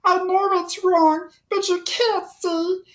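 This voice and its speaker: A timid little male storybook creature, put on by a woman. The voice is small and very high, unhurried, full of sorrow and apology. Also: level tone. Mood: tearful pleading. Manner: mournful, apologetic. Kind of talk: children's storybook character reading.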